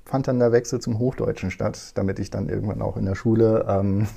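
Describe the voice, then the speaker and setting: Interview guest: man in his forties from northern Germany, gravelly and dark, relaxed, thinks aloud. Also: fairly low pitch, a little fast. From East Frisia, northern Germany. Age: 40s. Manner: relaxed, thoughtful, many fillers. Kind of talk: conversational interview.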